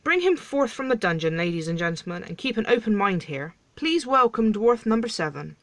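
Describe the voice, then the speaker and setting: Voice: medium pitch, very animated, brisk, a bit bright, no room sound. A storyteller voicing a pompous, indignant king who hosts a tabloid talk show, theatrical and emphatic. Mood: commanding.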